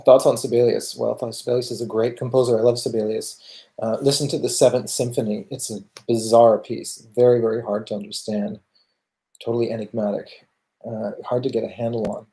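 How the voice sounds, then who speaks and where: Canadian guy on a q&a stream, mellow creaky voice, thinks out loud, kinda halting. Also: medium pitch, level tone. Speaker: music channel host answering viewer questions. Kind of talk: casual livestream Q&A talk.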